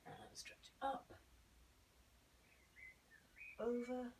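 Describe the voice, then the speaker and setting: A woman teaching a belly dance warm-up while suffering from a cold, her voice hoarse and often slipping into a whisper as she calmly cues each move and counts the beats. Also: on the high side, very slow. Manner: calm step-by-step cueing, counting beats. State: has a cold, voice nearly gone. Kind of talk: instructing an exercise warm-up. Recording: voice a bit far from the mic.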